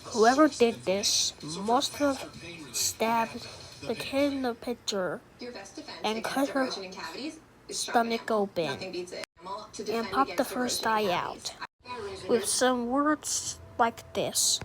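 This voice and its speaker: High-voiced woman as grave president issuing emergency alerts. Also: slow, pauses often, rough recording. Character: grave female president reading emergency national alert updates. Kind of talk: scripted emergency alert narration. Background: loud music.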